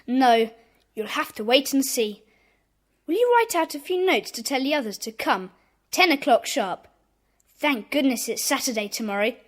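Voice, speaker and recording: An actor playing a bossy, indignant schoolboy club leader in a children's audio drama, brisk and commanding. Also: high-pitched, animated. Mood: brisk, eager.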